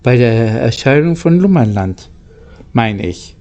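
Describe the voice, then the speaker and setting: A man's voice: mid-range voice, animated, measured pace, dark tone. A man voicing an anxious, trusting young boy traveller in a storybook, with a subdued, questioning manner. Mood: quiet, sad.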